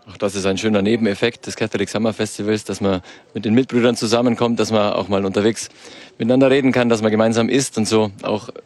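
A man's voice: on the low side, steady tone, quick pace, darkish tone. A man speaking as a priest in a live radio interview, giving spontaneous answers in an earnest, enthusiastic manner. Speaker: priest, youth chaplain. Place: outside-broadcast van.

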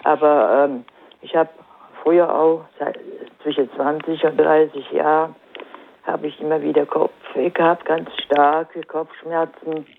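Southern german lady calling in with a cold, halting, lots of ums, crackly phone line. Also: low voice, little pitch movement, gravelly. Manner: hesitant, halting with many fillers. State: has a cold. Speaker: caller.

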